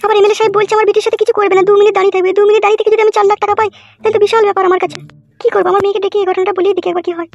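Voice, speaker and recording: Someone voicing a very high-pitched, quick-talking cartoon village woman. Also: little pitch movement, a little mellow, dull audio. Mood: coaxing. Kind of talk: Bengali comedy cartoon dialogue. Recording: music behind the voice.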